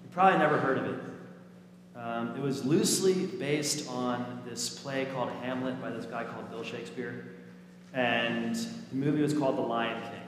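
A man around his thirties or forties with a slightly raspy voice, preaching as a pastor in an informal, lightly joking way. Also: medium pitch, fairly even intonation, normal speed, crowd noise. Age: 30s or 40s. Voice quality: a little raspy. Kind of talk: sermon and children's message in church. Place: inside a church during a service. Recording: echoey church hall, noisy, long reverb.

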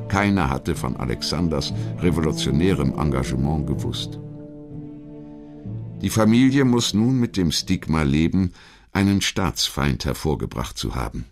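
A man reading a scripted historical narration in a measured, articulate storytelling manner. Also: extremely low pitch, big pitch swings, music in the background. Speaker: documentary narrator.

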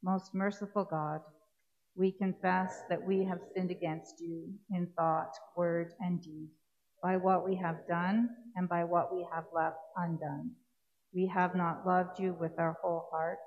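A middle-aged woman priest leading a church service, reading set prayers in a measured, solemn way. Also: lowish voice, level tone. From Canada. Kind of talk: liturgical reading. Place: church sanctuary with congregation. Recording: dull lo-fi livestream sound.

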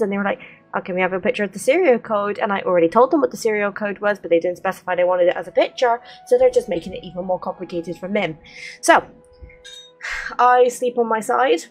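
A woman in her twenties chatting casually and candidly as she plays a game, speaking into a desk mic. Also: fairly high pitch. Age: 20s. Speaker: streamer playing a game. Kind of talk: casual chat over gameplay, reading dialogue aloud. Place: small bedroom with gaming setup. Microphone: close desk microphone. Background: game music.

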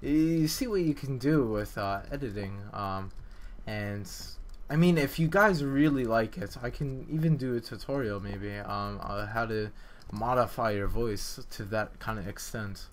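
A man hosting a game development video, talking in a casual, informal and halting way. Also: lowish voice. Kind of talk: casual gameplay commentary, development vlog.

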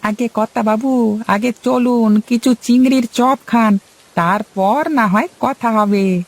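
A gravelly, fairly high voice belongs to an eager young shop boy in a cartoon. He hawks his food in a sing-song patter and bows to every customer. The voice rasps with a rough fry throughout. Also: slow. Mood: deferential.

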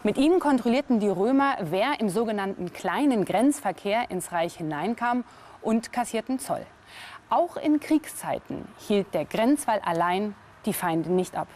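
A woman's voice: medium pitch, lively intonation, measured pace, darkish tone. A woman reading scripted documentary narration. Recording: music under the voice. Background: background music.

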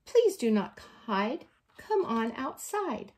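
A curious, friendly bear from a children's storybook, acted out by a woman. The voice is loud and emphatic, pitched fairly high and very animated in its rise and fall. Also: takes it slowly, full character voice. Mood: cheerful, welcoming. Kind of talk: children's storybook read-aloud.

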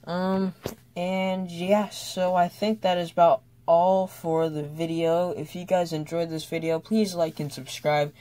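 A young student giving a casual, unscripted toy car review in a hesitant, halting way with trailing thoughts. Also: mid-range voice, little pitch movement, warm tone.

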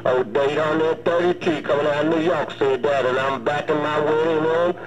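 Higher-voiced man on CB radio. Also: fairly even intonation, moderate pace, highs cut off, over a noisy crowd. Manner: folksy call-sign patter. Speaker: CB radio operator. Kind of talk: casual CB radio chat. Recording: old CB radio transmission.

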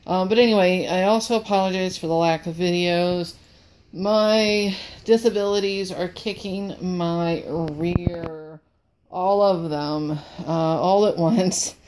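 A woman's voice: lowish voice, fairly even intonation, slow pace, a little mellow, a little hiss or hum, poor audio. A woman who sniffles as she talks, chatting apologetically and rambling while training her service dog at home. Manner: apologetic, rambling, repeats words. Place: cluttered room at home with wood floor.

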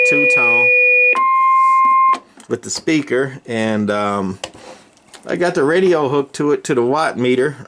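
Older man, casual demonstration, rambling, folksy, halting phrasing, medium-pitched voice. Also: a bit bright. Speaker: radio repair channel host. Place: indoor electronics workshop.

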